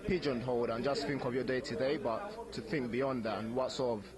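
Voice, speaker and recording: A man's voice: medium pitch, fairly even intonation, fairly quick. Earnest young Ugandan-born man, crowd around. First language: Luganda and Runyankole. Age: young adult. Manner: earnest, thoughtful, repeats words when starting. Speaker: interviewee at community event. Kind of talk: casual street interview. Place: outdoor community event. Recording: outdoor recording with crowd noise. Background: crowd chatter.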